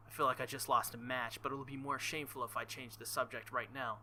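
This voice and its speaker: A man hosting a visual novel playthrough, reading lines aloud and tossing in animated, sweary, joking commentary. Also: mid-range voice, fast, slight background noise. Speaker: video game playthrough host.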